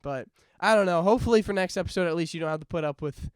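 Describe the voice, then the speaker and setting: A man's voice: sits high, racing, clean tone, dry recording, studio quality. Congested young man, chatty podcast co-host. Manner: laughs often, rambling. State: congested, runny nose. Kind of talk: casual chat.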